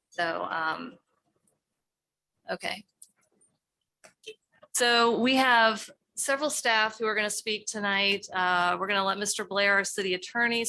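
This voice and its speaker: A woman's voice: medium pitch, bright, forceful tone, rough recording. A city staff member with a Virginian accent, losing her voice, opens a council presentation in a council chamber in a casual, loose way with many fillers.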